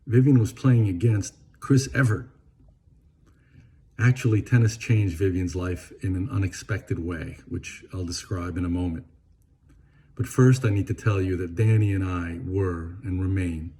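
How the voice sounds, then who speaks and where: An older man who grew up in Venezuela, delivering a measured, gently humorous eulogy and dropping his voice on sad words. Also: low-pitched, a little hiss or hum. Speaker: eulogy speaker. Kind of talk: read eulogy.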